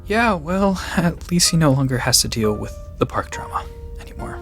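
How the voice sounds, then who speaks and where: A man playing a gentle, nervous young vet in an audio drama, polite and given to hesitant stammering. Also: a little high, sing-song, moderate pace, music in the background. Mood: sombre, earnest.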